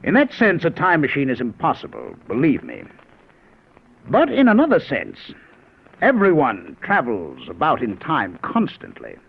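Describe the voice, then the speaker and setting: A thin, old-time radio recording carries a confident, excitable professor. His husky voice rises and dips widely as he explains things with theatrical eagerness, in the style of 1950s radio drama. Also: mid-range voice, normal speed, highs cut off, exaggerated voice. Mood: amused, lecturing.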